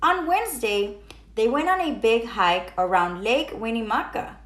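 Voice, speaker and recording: A woman's voice: fairly high pitch, expressive, normal speed, fairly bright tone. A woman reading a children's storybook aloud, expressively and with emphatic sound words. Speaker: teacher reading children's books aloud, channel host. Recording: roomy echo.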